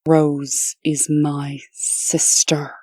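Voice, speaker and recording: A woman's voice: low voice, level tone, very slow, warm tone, slightly rough, no echo, full character voice. A woman playing a serious, determined official in a comic dub, speaking in a precise, earnest and insistent way. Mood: insistent, offended. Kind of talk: comic dub character acting.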